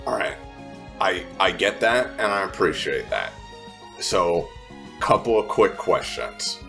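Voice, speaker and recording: A man playing a blunt, wary, wisecracking woman in a tabletop game, speaking in a halting, sweary, skeptical way. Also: deep voice, sing-song, gravelly, music in the background, heavily acted.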